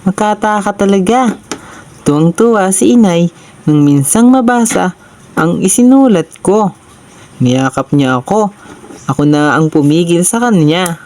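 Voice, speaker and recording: A woman narrating a children's story aloud in a plain, even way. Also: fairly low pitch, unhurried, long pauses.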